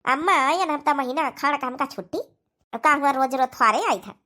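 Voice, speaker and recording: A woman's voice: high-pitched, brisk, sharp tone. A woman voicing a chatty married village daughter with an Awadhi accent in a comedy cartoon.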